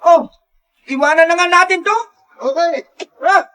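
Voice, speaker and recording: An actor playing an impatient barker hurrying passengers aboard. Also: male voice, very high-pitched, measured pace, pushed and bright, exaggerated voice.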